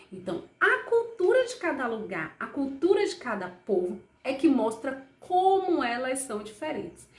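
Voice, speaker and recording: A woman with a Brazilian accent talks a class of children through a lesson, her voice sitting a touch high. She coaxes them along with questions she answers herself. The room around her is echoey. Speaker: teacher.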